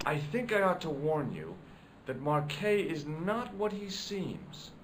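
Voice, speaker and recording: A wry, dry young investigator. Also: male voice, fairly high pitch, big pitch swings, slow pace, slight background noise, low-quality recording. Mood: insistent. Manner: dry, wry, matter-of-fact. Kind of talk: video game voice acting.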